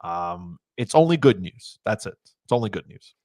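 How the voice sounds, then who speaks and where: A man's voice: fairly low pitch, big pitch swings, moderate pace, a little mellow, no echo, clean studio sound. A man co-hosting a hockey podcast in casual chat, blunt and emphatic, repeating his points. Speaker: podcast co-host.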